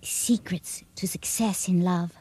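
A woman's voice: on the low side, fairly even intonation, takes it slowly, dark tone, a little hiss or hum, rough recording. A woman playing a bossy, confident schoolgirl who lectures earnestly on romance in a radio drama. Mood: confiding, assured. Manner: earnest, instructive. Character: bossy, confident British schoolgirl, romantic self-appointed expert.

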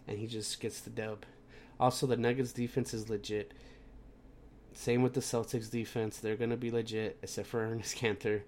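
A man's voice: medium pitch, darkish tone, a little hiss or hum. A young man hosting a casual sports podcast, sounding plugged up from a cold and rambling with lots of fillers and restarts. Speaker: podcast host.

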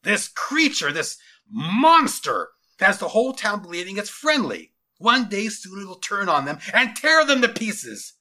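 A man acts out a zealous middle-aged general from an audio storybook. The voice is bright and forceful, pitched fairly high, with big swoops as he declaims and commands like someone who will not be questioned. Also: sing-song, unhurried, hardly any pauses, full character voice. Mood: fierce, menacing. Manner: commanding, declamatory, barking orders.

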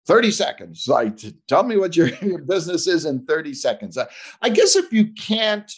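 A man's voice: fairly high pitch, wildly swooping pitch, moderate pace, breathy edge. A man hosting a podcast interview, talking casually and often checking in with "right?". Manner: conversational, asks questions, tags "right?".

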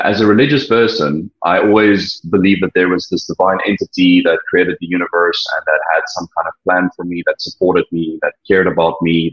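Deep husky South African man, earnest. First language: Afrikaans. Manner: earnest, halting, many restarts. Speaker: interview guest. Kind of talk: personal testimony, reflective. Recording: dull lo-fi sound.

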